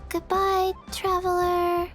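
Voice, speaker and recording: A woman voicing a gentle, sleepy little undead girl in a video game, speaking in a halting deadpan. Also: very high-pitched, heavily acted. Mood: dreamy, placid. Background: music.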